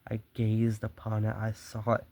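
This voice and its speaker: A man playing a gloating, obsessive madman in a horror story, with a gravelly voice and theatrical, drawn-out emphasis. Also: on the low side, monotone, a little mellow, natural voice. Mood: tense, ominous. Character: gloating, obsessive madman confessing his murderous stalking.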